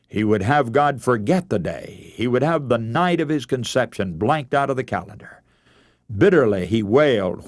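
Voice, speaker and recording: A man's voice: medium pitch, no room sound, clean studio sound. A man preaching a scripted radio sermon, telling a story in a solemn, formal and rhetorical manner.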